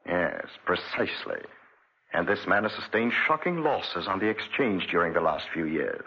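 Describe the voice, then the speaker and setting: A vengeful, imperious rich gentleman in a 1940s radio play. His husky voice swings widely as he gloats with theatrical intensity. The recording is muffled and old. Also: low voice, expressive, moderate pace, full character voice. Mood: grave, controlled. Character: vengeful, obsessive wealthy gentleman, gloating and cruel.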